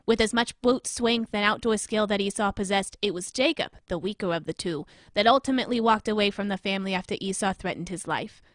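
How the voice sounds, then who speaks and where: A woman's voice: mid-range voice, a little fast, hardly any pauses, dry recording, natural voice. Woman doing a serious tv news magazine host, dramatic and probing, dry studio sound. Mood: grave, intrigued. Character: female TV newsmagazine anchor parody, earnest, dramatic, probing. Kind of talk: scripted newsmagazine narration in audio drama.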